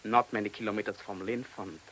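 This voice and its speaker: An actor in a radio drama playing a cunning, self-assured German man who speaks with deliberate, mocking polish in a German accent. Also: on the low side, steady tone, a bit bright, close to an everyday voice, poor audio. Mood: grave, measured. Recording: hissy old radio play recording.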